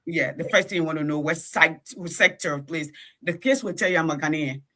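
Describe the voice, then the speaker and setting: Liberian lady co-host, bright punchy voice, chatty but emphatic, keeps checking u follow. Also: low-pitched, fairly quick. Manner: emphatic, punctuates points with "okay?". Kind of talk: animated conversational commentary.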